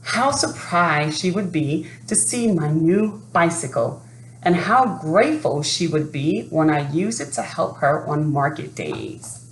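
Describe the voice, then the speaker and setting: A woman reading a children's picture book aloud in an expressive, unhurried manner. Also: deep voice. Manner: expressive, unhurried, adds asides to listeners. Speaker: storybook reader. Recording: roomy echo, faint background noise.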